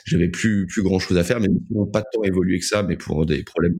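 Low-pitched young man, hesitant storyteller. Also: a little fast, a little mellow, breathy edge. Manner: hesitant, often repeating words. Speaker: interview guest, co-founder of a digital media outlet. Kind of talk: casual interview storytelling.

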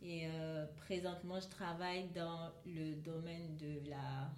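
A woman's voice: low voice, little pitch movement, unhurried, darkish tone. A woman from Ivory Coast, talking casually as a co-host in a group chat. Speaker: podcast co-host. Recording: echoey room, faint noise.

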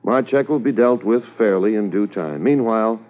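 Through a tinny vintage radio recording comes a man acting a senior police officer. The voice is on the low side, formal and judicious, with the authority of a superior addressing a subordinate. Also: moderate pace, lightly acted. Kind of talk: 1950s radio drama acting.

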